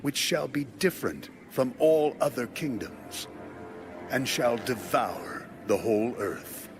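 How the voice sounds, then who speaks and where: A man narrating an audio Bible in a solemn, dramatic, deliberate way. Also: medium pitch, lively intonation. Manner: measured, deliberate delivery. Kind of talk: solemn dramatic scripture reading. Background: music bed.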